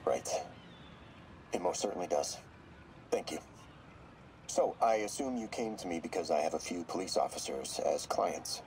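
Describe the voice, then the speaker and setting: A man voicing a male therapist who speaks in a calm, clinical, measured way. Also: low-pitched, slight background noise, poor audio. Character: calm male therapist, coolly analytical and scheming.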